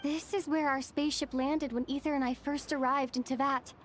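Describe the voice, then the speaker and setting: A woman voicing a thoughtful, gentle young female traveller in a fantasy video game, reflective and wistful. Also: high voice, steady tone, brisk, noisy background, lightly acted.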